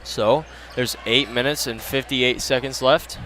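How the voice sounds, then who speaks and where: A man with a Midwestern American accent calling a high school basketball webcast, chatting casually and thanking sponsors before tipoff. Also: fairly even intonation, unhurried, bright, forceful tone, a little hiss or hum. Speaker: sports webcast announcer. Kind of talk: casual pregame commentary.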